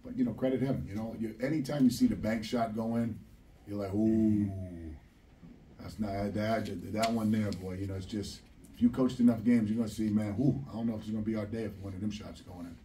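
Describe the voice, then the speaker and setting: A man's voice: fairly low pitch, fairly even intonation, brisk, mellow. A middle-aged man, a basketball head coach, answering questions bluntly and emphatically at a postgame press conference, repeating phrases for stress. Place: press room at a table. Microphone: table microphone, recorded from a distant camera. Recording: distant, slightly noisy press room.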